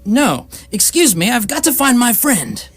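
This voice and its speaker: Woman acting brisk blunt friend, high lively voice, unflappable, sci-fi radio comedy. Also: breathy edge, exaggerated voice. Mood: calm, offhand. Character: unflappable, matter-of-fact friend who knows doom is coming.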